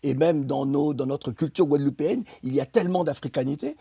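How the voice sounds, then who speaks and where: A middle-aged man speaks with impassioned emphasis, building his argument and then trailing off into hesitant restarts. His voice sits fairly high but sounds soft and warm, with a light husk. It is recorded close and dry in a studio. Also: creaky, husky, no echo. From France. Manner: emphatic, many pauses and restarts. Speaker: interview guest. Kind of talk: impassioned political interview talk.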